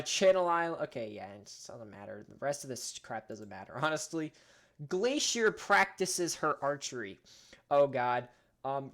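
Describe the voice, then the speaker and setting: A fairly high-voiced American man with a northern US accent reads game results aloud, joking as he goes. His delivery is loose and offhand, and he trips over names now and then. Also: fairly bright tone, clear, resonant tone. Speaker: gaming channel host. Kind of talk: casual commentary reading game events aloud.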